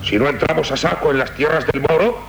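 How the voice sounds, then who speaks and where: An actor playing a proud, pompous older Castilian count in a radio drama, sarcastic and courtly, with a Castilian Spanish accent. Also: male voice, fast, creaky. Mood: scornful, mocking. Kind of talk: 1970s radio drama acting. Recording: noisy 1970s radio recording with rumble.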